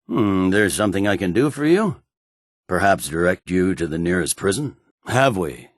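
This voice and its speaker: A man's voice: sits low, sing-song, moderate pace, no room sound, clean studio sound. A man playing a stern, weary imperial general in a video game, speaking with clipped, brusque, formal authority. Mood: curt, skeptical. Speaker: military commander. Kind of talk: scripted video game dialogue.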